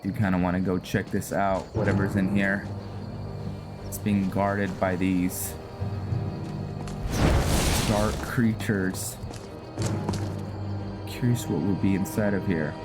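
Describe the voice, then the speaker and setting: A man's voice: low voice, flat delivery, slow, pauses often. A man giving casual gameplay commentary, musing and hesitant as he thinks aloud. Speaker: gamer commentating a roleplay playthrough. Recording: loud music over voice. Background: loud game music.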